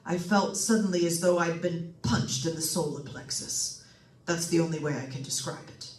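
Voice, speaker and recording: A fairly high-voiced man acting as a solemn doctor investigating a haunting, who reports formally and precisely as in a vintage radio thriller. The recording is roomy and distant. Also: normal speed, a little hiss or hum, natural voice. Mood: breathless, grave. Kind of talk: old-time radio suspense drama acting. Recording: echoey room, distant mic.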